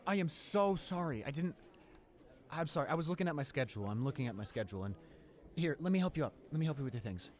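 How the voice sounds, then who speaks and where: A young man playing a friendly, easily flustered high school senior in a roleplay audio drama, with an awkward, rambling, hesitant way of talking. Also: very animated, quick pace. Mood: flustered, apologetic. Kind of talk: roleplay audio drama, casual conversational acting.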